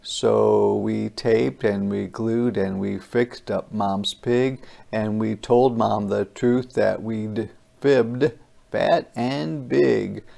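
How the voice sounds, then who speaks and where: Slow, creaky storybook reader. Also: mid-range voice, fairly even intonation, dark tone. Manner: theatrical, deliberate phrasing. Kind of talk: dramatic rhyming children's read-aloud.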